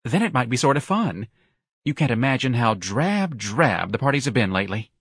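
A man voicing an effeminate young man, breathy, gushing and dramatic, as a character in an audiobook. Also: medium pitch, very animated, moderate pace, fairly bright tone, dry recording, clean studio sound, voice pushed up, full character voice. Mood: gushing, delighted.

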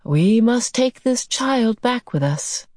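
A woman voicing a gentle, furry alien healer in an audiobook, speaking calmly and deliberately and groping for words. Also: fairly high pitch, dry recording, clean studio sound, voice pushed up. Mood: soothing, firm. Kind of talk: audiobook character voice.

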